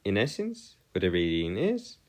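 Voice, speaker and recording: A man's voice: low-pitched, huge pitch swings, normal speed. A man giving an explanatory math lesson as a tutor, speaking in formal, wordy phrases and hesitantly correcting himself as he goes. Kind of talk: semi-improvised explanatory lesson.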